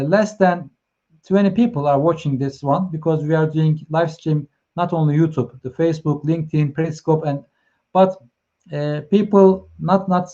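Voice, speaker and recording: A man's voice: a little high, mellow. Turkish guy hosting a livestream, lots of uhs and restarts, upbeat and encouraging, kinda muffled call audio. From Turkey. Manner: hesitant with many fillers and restarts, encouraging. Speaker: host. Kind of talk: live stream talk.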